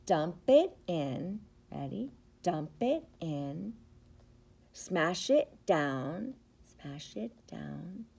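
A middle-aged woman, a preschool teacher, reads a picture book aloud to young children with expressive, rhythmic, sing-song phrasing. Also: lowish voice, extremely slow, gravelly, husky. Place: indoors, school classroom. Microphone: device camera at about arm's length.

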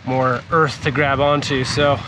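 A man's voice: mid-range voice, flat delivery, measured pace, background noise. Male, thirties, American accent, easygoing DIY vlogger explaining a building project in plain, casual talk. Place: small home room while explaining at a desk, outdoors on a building site elsewhere.

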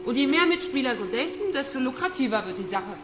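A woman's voice: sits high, sing-song, quick pace, over loud music. An actress playing a cold young woman in a crime radio play, mockingly taunting her captive. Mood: cold mockery. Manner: mocking, coolly detached. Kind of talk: radio crime drama acting. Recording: roomy echo, water noise behind.

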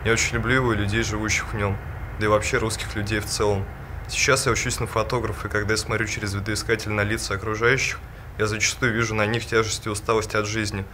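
Young man, 19, from Moscow, fast and flat, noisy background. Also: on the low side. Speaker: photography student.

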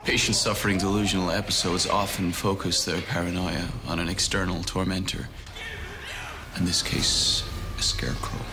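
An actor playing a calm, menacing psychiatrist with a clinical manner in a cutscene. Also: male voice, low-pitched, normal speed, noisy background. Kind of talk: film-like game cutscene acting.

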